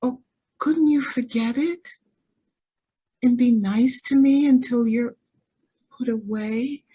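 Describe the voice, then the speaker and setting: A woman's voice: a little high, takes it slowly, mellow, husky, poor audio. A woman playing a spoiled, naively callous young heiress in an old-time radio style drama, girlish and breezy in manner. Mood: breezily callous. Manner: breezy, girlish, petulant when crossed.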